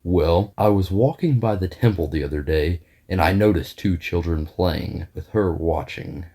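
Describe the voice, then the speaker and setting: A man's voice: deep voice, warm tone, slightly rough. An actor playing a sly courtier in an audio drama, deferential and full of hints as he reports to his queen. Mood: insinuating. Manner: deferential, hinting, deliberate. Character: sly, insinuating male courtier informant to a queen. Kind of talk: scripted audio drama acting.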